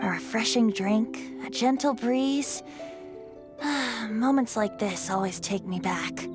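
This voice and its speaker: A man's voice: very high voice, slow. An actor voicing an ancient wind spirit in the form of a young male bard, light and playfully teasing, telling a story in rhymed verse. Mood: wistful. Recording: game audio with music behind. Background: game music.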